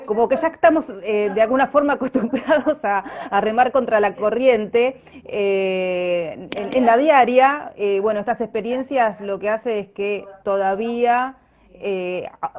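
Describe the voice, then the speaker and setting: A woman's voice: fairly high pitch, measured pace, darkish tone. A woman, an actress, chatting casually in a live online conversation in short, hesitant bursts.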